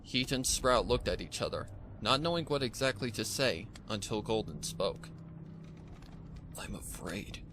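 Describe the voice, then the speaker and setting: Guy narrating a dark story, measured and somber, mid voice, noisy background. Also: mid-range voice, expressive. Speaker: fan fiction narrator. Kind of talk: dramatic scripted story narration.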